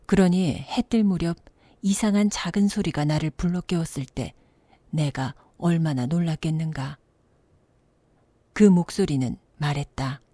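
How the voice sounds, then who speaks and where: Mellow, low-toned woman telling a bedtime story. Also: a little slow, no echo. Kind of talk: scripted bedtime story narration.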